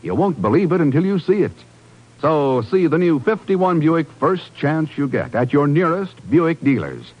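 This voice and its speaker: A man's voice: a little high, very animated, normal speed, muffled recording. A man announcing in a polished, emphatic 1950s radio style, reading scripted program and sponsor copy. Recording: band-limited old radio broadcast recording. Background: music.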